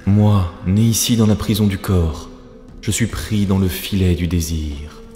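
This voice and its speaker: Narrator as Ruslan, a deep-voiced earnest seeker. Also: male voice, unhurried, warm tone, reverberant, big-room sound. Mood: solemn, pleading. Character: Ruslan, earnest seeking man in mystical trance. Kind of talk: cartoon story dialogue. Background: background music.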